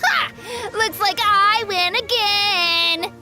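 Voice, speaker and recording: A woman voicing an earnest, proud little village boy with a high boyish voice in a video game. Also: very slow, heavily acted. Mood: gleeful, boastful. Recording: game music behind.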